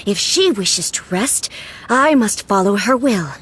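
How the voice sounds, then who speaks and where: A woman's voice: mid-range voice, animated, a little slow, fairly bright tone, a little hiss or hum, rough recording. A woman voicing a stern, detached puppet guardian in a video game, speaking in a formal, clipped, commanding way. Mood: impassive.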